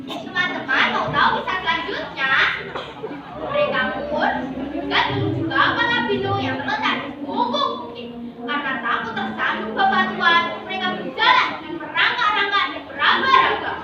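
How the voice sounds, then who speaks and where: A very high female voice narrates a religious tale with theatrical, declamatory delivery. She sounds distant in a heavily echoing contest hall, with clear noise around her. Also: sing-song, normal speed, over a noisy crowd. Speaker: storytelling contestant. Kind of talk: dramatic memorized religious storytelling. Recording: echoey noisy hall, muffled, far off.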